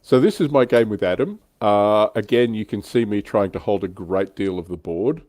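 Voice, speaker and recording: Low pitched guy, close dry sound, casually talking thru his tabletop games, bit hesitant. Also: moderate pace, darkish tone, no echo. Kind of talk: casual chat, recounting tabletop wargame battles.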